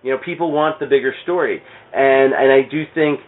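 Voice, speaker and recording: A man in casual conversation, heard through a narrow, phone-like band. His voice sits at a middling pitch. He talks haltingly, often doubling words and starting sentences over. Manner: halting, repeats words and restarts mid-sentence. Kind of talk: casual chat about comic books. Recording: thin, band-limited phone-like radio sound.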